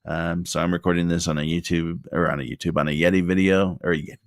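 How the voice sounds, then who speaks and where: Very deep-voiced man, wry casual tutorial. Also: little pitch movement, fast, no room sound, studio quality. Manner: rambling, filler-heavy, wry asides. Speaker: video creator giving tips. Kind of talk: casual talking-head tutorial. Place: home desk. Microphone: desktop condenser mic.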